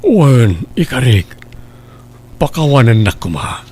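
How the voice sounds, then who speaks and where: A remorseful family man in a radio drama. His voice is husky and rough-edged, and he speaks haltingly, pleading and apologetic. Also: medium pitch, sing-song, darkish tone, heavily acted. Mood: contrite. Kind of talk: Filipino radio drama acting.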